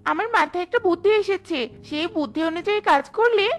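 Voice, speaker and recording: A woman's voice: extremely high pitch, fast. A woman voicing an eager young female bird, always hungry, in a children's fairy tale cartoon. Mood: scheming.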